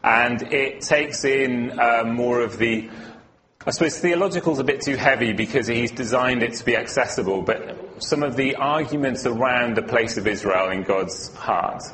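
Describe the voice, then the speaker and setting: A man's voice: medium pitch, moderate pace, a crowd in the background, low-quality recording. A man from Wales giving an earnest, informal teaching talk as a guest speaker at a church, with a few fillers.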